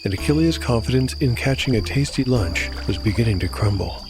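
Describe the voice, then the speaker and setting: A man narrating a scripted audio drama as game master, with a measured storyteller's delivery. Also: mid-range voice, animated. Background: music.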